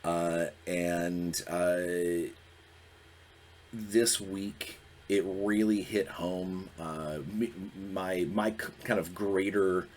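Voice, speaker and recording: A man in his forties with a very low, deep voice, talking on his own as a podcast host. He speaks candidly and reflectively, pausing and restarting as he works through his thoughts, with a faint hum of noise behind him. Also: fairly even intonation, darkish tone. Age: 40s. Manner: earnest, reflective, halting. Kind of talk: candid solo talk.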